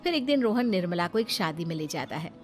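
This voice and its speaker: A woman's voice: on the low side, sing-song, fast talker, a little mellow, soft background music. A woman reading scripted story narration.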